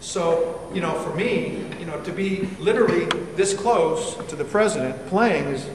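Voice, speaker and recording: A man's voice: high voice, normal speed, far from the mic. Chatty army musician guy from chile, talking to cadets from across an echoey hall. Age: 40s. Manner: chatty, asks the audience questions. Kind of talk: informal talk to cadets. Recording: echoey hall, picked up from afar with background noise.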